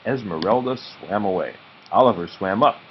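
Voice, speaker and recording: A man narrating a children's story aloud expressively and deliberately, with dramatic pauses. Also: lowish voice, lively intonation. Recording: muffled audio with faint background noise.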